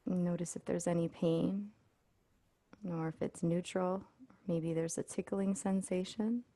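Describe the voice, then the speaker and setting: A woman leading a guided body scan meditation as an instructor, speaking in a soothing, unhurried way with pauses. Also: lowish voice, steady tone, mellow, dry recording.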